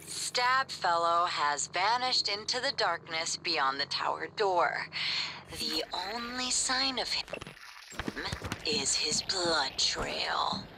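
A woman playing a spirited young game master who narrates dark fantasy in a theatrical, sometimes hushed way, in a video game audio log. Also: fairly low pitch, animated, slow pace, noisy background. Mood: ominous, suspenseful.

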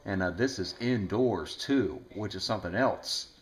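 A man's voice: lowish voice, moderate pace, slight background noise. A man chatting casually over the radio as an amateur operator, explaining things in a rambling way. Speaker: ham radio operator making a video. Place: indoors in a home room. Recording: echoey room.

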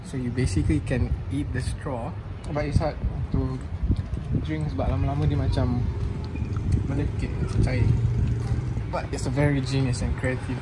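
Malaysian young man vlogging over loud noise. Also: mid-range voice. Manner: chatty, switching between English and Malay mid-sentence. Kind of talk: casual vlog narration. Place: car and city streets at night. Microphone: handheld phone camera. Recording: loud street and car noise behind.

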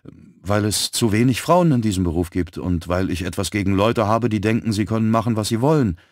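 A man voicing a quick-witted young woman. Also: lowish voice, no room sound, studio quality, pitched above the performer's own voice, natural voice. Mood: lively. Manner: brisk, frank and lively.

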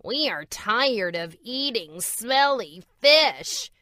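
A grumbling storybook ship's cat, put on by a woman reader whines and complains in a high voice. The delivery swoops widely, with petulant, drawn-out complaint. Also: very animated, sharp tone, dry recording, full character voice. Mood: whining, grumbling. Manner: whiny, complaining. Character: whining, grumbling cats aboard a pirate ship. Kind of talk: children's storybook read-aloud.

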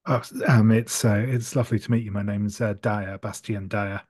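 A man acts out an awkward, mild-mannered traveller in a tabletop game. The voice is fairly low and recorded dry, with polite phrases broken by hesitant stammers. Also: fairly even intonation, normal speed, darkish tone, no room sound, close to an everyday voice. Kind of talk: improvised tabletop roleplay. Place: home room on a video call.

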